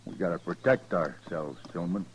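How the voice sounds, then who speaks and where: An actor playing a grim, folksy rancher leading a lynching, with an American Western drawl and a matter-of-fact manner, in a 1950s radio western. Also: male voice, low-pitched, moderate pace, a little hiss or hum, natural voice. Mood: calm, stubborn.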